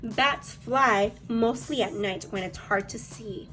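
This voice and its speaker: A woman's voice: a little high, slow, fairly bright tone, poor audio. A woman teacher leading a read-aloud lesson for young children, speaking in an encouraging way. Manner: encouraging, addressing young pupils. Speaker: elementary school teacher.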